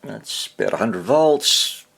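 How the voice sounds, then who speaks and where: A man with a husky voice giving a casual hands-on repair demonstration, half muttering to himself as he works. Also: medium pitch, huge pitch swings, slow, fairly bright tone. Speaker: hobbyist radio repairer.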